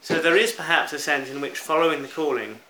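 A man's voice: a little high, quick pace, bright, forceful tone. British male preacher, sermon delivery, reflective and emphatic, echoey room, faint background noise.

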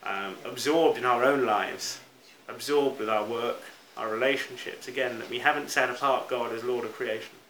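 A man's voice: medium pitch, moderate pace, hardly any pauses, a bit bright. A British man preaching a sermon in an earnest, reflective manner, stressing key words as he goes. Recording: roomy echo, faint background noise.

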